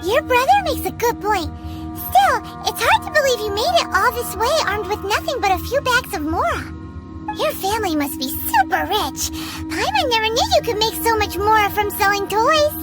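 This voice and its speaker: Game fairy sidekick: very high-pitched, cheeky and blunt, over prominent jingly music. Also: female voice, big pitch swings, moderate pace. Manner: sassy, blunt, exclamatory. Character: small female fairy companion, cheeky and excitable. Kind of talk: animated video game character acting. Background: jingly children's music.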